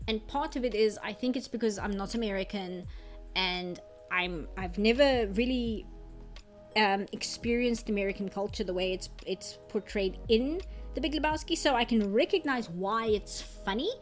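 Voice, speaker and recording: A young woman with a South African accent and an Afrikaans background chats in a rambling, casual way while she draws, now and then dropping into quiet muttering as she works. Her voice sits at a middle pitch for a woman. Soft music plays faintly in the background. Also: normal speed. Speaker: artist streamer. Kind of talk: casual chat while drawing on stream.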